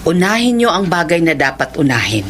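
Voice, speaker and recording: An aging Filipino mother in a Tagalog radio drama. Her low voice moves expressively as she gently insists on putting others first, with faint noise in the recording. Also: big pitch swings, fairly quick. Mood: selfless, insistent. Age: older. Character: aging, self-sacrificing mother of a grown daughter.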